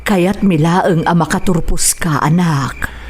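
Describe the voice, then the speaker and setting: A woman's voice: lowish voice, slow, a little mellow, slight background noise. A woman with an Ilocano accent playing a worried, pleading middle-aged mother in a radio drama. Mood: gently chiding.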